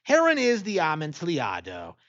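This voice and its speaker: A cold, vengeful gentleman, performed by a man in gothic storytelling. He speaks with measured, mocking courtesy and wide swings of pitch. The audio is a little dull. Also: huge pitch swings. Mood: mockingly polite. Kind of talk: dramatic audiobook character voice.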